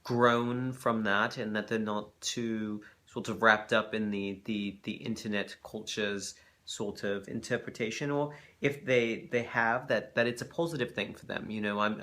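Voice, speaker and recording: A man with a fairly low voice gives reflective commentary. He speaks thoughtfully and haltingly, hedging as he works through each point. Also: little pitch movement, fairly bright tone. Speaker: reaction video host.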